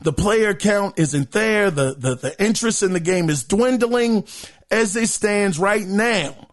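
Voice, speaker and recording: Male podcast host with a high, hoarse voice, chatty news rundown. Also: lively intonation, measured pace. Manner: chatty, addresses listeners as guys, many fillers. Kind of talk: casual news commentary.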